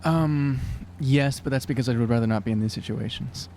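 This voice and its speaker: A man roleplaying at a tabletop game, halting and exasperated, often repeating his words. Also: mid-range voice, a little mellow, slight background noise. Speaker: player in an actual-play podcast. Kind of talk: in-character tabletop roleplay chat.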